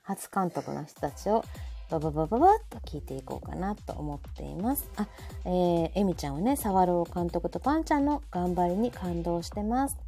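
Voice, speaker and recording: A woman's voice: fairly low pitch, lively intonation, fairly quick, dark tone. A woman with a Kansai Japanese accent hosting a live audio show in casual chat, often chiming in with agreement. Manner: frequent backchannel agreement. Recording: faint background music.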